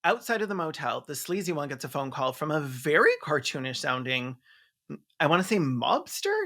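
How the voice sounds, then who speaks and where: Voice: medium pitch, sing-song, moderate pace, bright, forceful tone. A video host delivering sarcastic scripted commentary in a theatrical, mocking manner. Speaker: host of a comedic film review.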